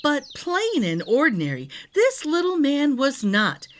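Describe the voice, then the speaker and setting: Animated, high-voiced woman storyteller. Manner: deliberate, dramatic phrasing. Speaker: storytime reader. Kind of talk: expressive rhyming picture-book narration for young children.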